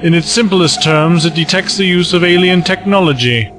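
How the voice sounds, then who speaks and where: Man playing a sly, higher-voiced villain. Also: moderate pace, music in the background, natural voice. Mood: coolly knowing. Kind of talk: science fiction audio drama acting.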